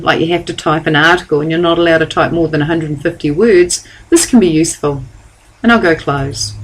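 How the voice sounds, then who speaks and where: A woman giving a calm, step-by-step software tutorial over a screen recording. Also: lowish voice, low-quality recording. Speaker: software trainer.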